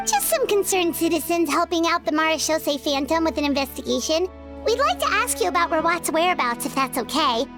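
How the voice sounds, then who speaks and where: A woman's voice: very high voice, expressive, brisk. A woman voicing a tiny, chatty companion figure who is eager and blunt. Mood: chirpy. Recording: game dialogue over music.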